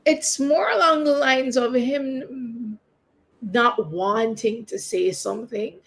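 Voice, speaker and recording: A hoarse woman on a video call, speaking haltingly as she describes feelings while standing in for someone's husband. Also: a little high, fairly bright tone. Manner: searching, hesitant phrasing.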